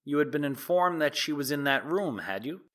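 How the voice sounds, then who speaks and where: Man acting bright, animated prosecuting lawyer. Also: on the high side. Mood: probing, formal. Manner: precise, formal, deliberate questioning. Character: formal, insistent male prosecuting lawyer questioning a witness. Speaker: actor playing a prosecutor. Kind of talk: dramatic reading of a trial transcript.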